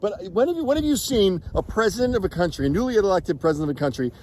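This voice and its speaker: A man in his fifties hosts the chat, jumping in to finish his guest's thoughts. His voice is high and swoops about lively. He talks fast and eagerly. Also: slight background noise. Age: 50s. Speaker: interview host. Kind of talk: casual interview chat.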